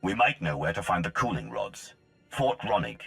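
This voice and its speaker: An actor playing a stern, driven mercenary fighter in a video game, terse and commanding. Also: male voice, low-pitched, big pitch swings, normal speed, gravelly, pushed and bright, soft background music, exaggerated voice. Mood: businesslike, commanding. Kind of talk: video game cutscene acting.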